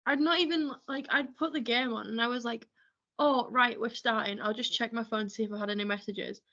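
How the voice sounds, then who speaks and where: A woman's voice: on the high side, fairly even intonation, a little fast, sharp tone, muffled recording. A woman co-hosting a casual podcast, making dry, teasing and sarcastic remarks. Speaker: podcast co-host. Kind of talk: casual chat about hockey.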